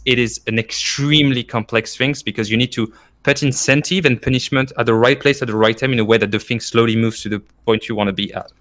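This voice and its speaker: A French-accented man with a very bright, forward voice. He talks as a guest in a casual debate, explaining at length and repeating key points for emphasis. His pitch sits in an ordinary male range. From France. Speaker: former esports coach, podcast guest. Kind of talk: casual argumentative discussion.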